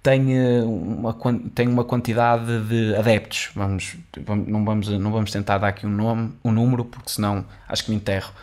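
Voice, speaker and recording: A man's voice: lowish voice, steady tone, a little fast, few pauses, darkish tone. A young man with a European Portuguese accent explains finance history in a casual, chatty way, full of fillers and restarts, into a studio microphone in a small room. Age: young adult. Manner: chatty, many restarts and fillers, gestures while talking. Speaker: host of an educational video series. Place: small studio room with dark wall, indoors. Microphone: studio condenser mic on boom arm, headphones on.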